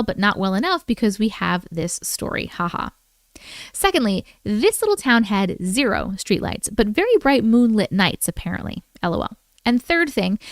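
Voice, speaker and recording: High-voiced woman reading stories on a livestream. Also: clear, resonant tone, dry recording, clean studio sound. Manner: animated, informal, interjects reactions. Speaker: live stream host reading listener stories. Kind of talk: casual reading aloud with chatty asides.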